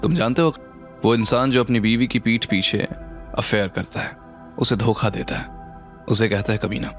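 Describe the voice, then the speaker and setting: A man playing a cold, controlling businessman in an audio drama, measured and mocking, slipping English phrases into his speech. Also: on the low side, steady tone, gravelly. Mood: cold, needling. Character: cold, sarcastic, controlling businessman with dangerous calm. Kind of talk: dramatised audio series reading. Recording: music bed under the voice.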